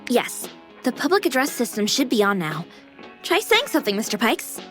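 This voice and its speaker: A woman's voice: on the high side, sing-song, moderate pace, a bit bright. A woman voicing a playful young female AI companion in a sci-fi visual novel, polite with a teasing edge. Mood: helpful. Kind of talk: visual novel game voice acting. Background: music.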